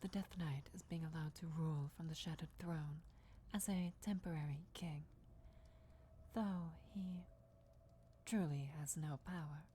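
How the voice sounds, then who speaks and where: A woman voicing a deferential vampire emissary in a fantasy ASMR roleplay, speaking in a formal, measured, breathy whisper. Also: sits low, a little hiss or hum. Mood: hushed, deferential. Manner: hushed, formal, measured, reverent. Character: deferential female vampire emissary briefing her lord before war.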